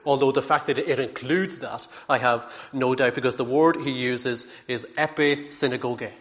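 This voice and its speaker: A man's voice: mid-range voice, fairly even intonation, normal speed, fairly bright tone, muffled recording. A man preaching a sermon in an earnest, emphatic way, with self-deprecating asides and frequent repeated words.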